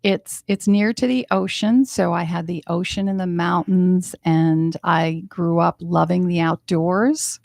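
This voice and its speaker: A woman's voice: on the low side, steady tone, unhurried, gravelly, hardly any pauses, darkish tone, no room sound, clean studio sound. A woman from New Hampshire, a life coach guest on a radio show, upbeat and laughing often. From New Hampshire, USA. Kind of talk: radio interview. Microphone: studio microphone.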